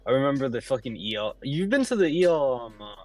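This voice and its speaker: A man of twenty-six chatting excitedly on a stream, stumbling over his words. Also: medium pitch, quick pace, no room sound, faint music behind. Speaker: stream co-host. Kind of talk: casual stream chat.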